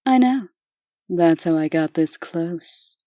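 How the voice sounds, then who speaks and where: Woman playing a low, slow, velvety angel huntress. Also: animated, dark tone, dry recording, studio quality. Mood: quietly menacing. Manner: poised, teasing. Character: cool, seductive, menacing female angel huntress. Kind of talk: comic dub acting.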